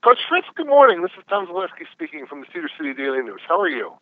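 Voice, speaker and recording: A male reporter talks quickly over a thin telephone line, his voice a little on the high side. He puts his questions politely and in wordy phrasing, as on a press call. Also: big pitch swings, no echo. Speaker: newspaper reporter. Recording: phone-like line.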